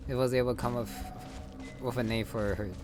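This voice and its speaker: A man's voice: medium pitch, fairly even intonation, brisk, music in the background. A man giving casual gameplay commentary in an offhand, musing way. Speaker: gamer giving commentary on his playthrough.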